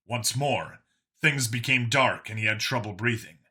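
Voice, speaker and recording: Very bright, forceful male story narrator. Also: mid-range voice, moderate pace. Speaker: audiobook narrator. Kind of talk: dramatic scripted story narration.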